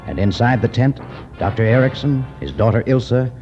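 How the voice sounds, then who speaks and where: Male 1950s radio announcer: low, mellow, animated, declamatory storytelling over music. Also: moderate pace. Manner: dramatic, declamatory. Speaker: announcer and narrator. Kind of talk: 1950s radio scripted narration. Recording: dull old radio recording with music.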